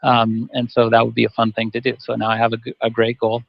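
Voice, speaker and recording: A man's voice: medium pitch, completely flat delivery, fast, vocal fry, dry recording. A man in his fifties answering on a panel, rambling and restarting his sentences often. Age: 50s. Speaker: masters runner guest. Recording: dull dry audio.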